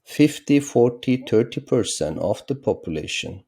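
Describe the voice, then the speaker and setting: A middle-aged man from Turkey, a political science professor explaining his views as an interview guest in a measured, lecturing way. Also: mid-range voice, lively intonation, dark tone, breathy edge. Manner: measured, lecturing, with rising emphatic stresses.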